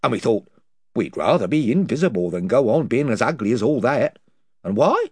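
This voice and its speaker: A man's voice: medium pitch, big pitch swings, normal speed, husky, dry recording. A man voicing a dim, long-winded male creature chief who talks in a folksy, self-satisfied way. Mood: rambling.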